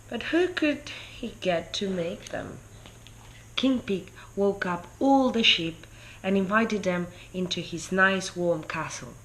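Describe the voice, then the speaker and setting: A woman reads a children's story aloud at a slow, deliberate pace. Her delivery is expressive, at a medium pitch. Some background noise sits behind her voice. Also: poor audio. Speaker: story reader.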